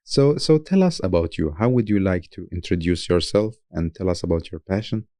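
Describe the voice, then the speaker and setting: Low, mellow male podcast host. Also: expressive, fairly quick, no echo, studio quality. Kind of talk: casual interview.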